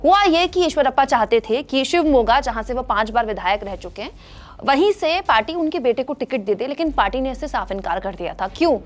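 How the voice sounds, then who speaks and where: High-pitched woman presenting news. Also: expressive, brisk. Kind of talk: scripted political news narration to viewers.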